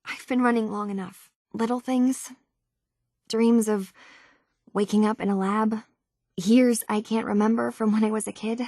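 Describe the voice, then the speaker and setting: A woman's voice: on the high side, steady tone, measured pace, darkish tone, no echo, studio quality. An actress playing an earnest young woman tinkerer searching for her identity in a video game, speaking in a natural, slightly hesitant conversational way. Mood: determined, troubled. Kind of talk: naturalistic game dialogue acting.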